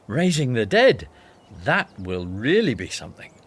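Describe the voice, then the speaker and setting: An actor with a Northern Irish accent playing Judas, a teasing, sardonic disciple who cares most about money, in a radio drama. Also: male voice, medium pitch, sing-song, measured pace, husky, full character voice. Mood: eager. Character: Judas, scheming money-minded male disciple.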